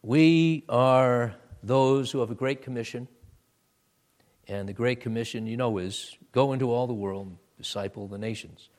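Slow-speaking male pastor preaching. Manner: swings from soft low asides to loud high exhortation; deliberate, drawn-out preaching delivery. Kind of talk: sermon and closing prayer.

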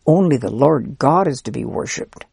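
A reader voicing a kind, patient male priest who gently explains things in a storybook. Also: mid-range voice, animated, moderate pace, vocal fry, slightly rough, no room sound, studio quality, heavily acted. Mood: kindly explaining. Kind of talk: storybook character reading.

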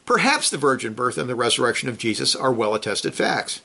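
A man's voice: medium pitch, very animated, fairly quick, gravelly, sharp tone. A man who teaches a weekly Bible study, reading a theology text aloud with emphasis, then commenting with frequent restarts.

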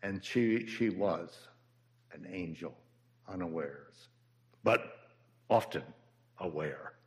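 A man near ninety giving a halting memorial tribute at a church service, stumbling over words with fillers. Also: sits low. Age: older, near ninety. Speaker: church member giving a eulogy.

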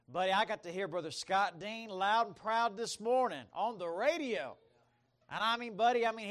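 A middle-aged man, preaching and telling his testimony in a folksy storytelling manner, swinging between loud emphasis and quiet asides. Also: sits high. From Bahamas, raised by American missionary parents. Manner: folksy, storytelling, calls for amens. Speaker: missionary preacher. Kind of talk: sermon, personal testimony.

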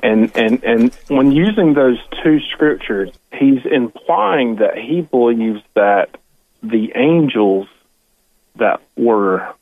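A man's voice: medium pitch, expressive, takes it slowly. A man calling into a radio show, asking a question in a hesitant, rambling way with many fillers. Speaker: caller. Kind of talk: call-in question on the phone. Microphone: telephone. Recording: tinny dry phone line.

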